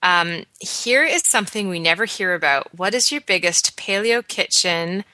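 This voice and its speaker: A woman's voice: on the low side, lively intonation, moderate pace, sharp tone, dry recording. A woman in her thirties from Canada, with a Canadian accent, co-hosting a podcast in casual chat, full of ums and restarts. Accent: Canadian English. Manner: chatty, many ums and restarts. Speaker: podcast co-host.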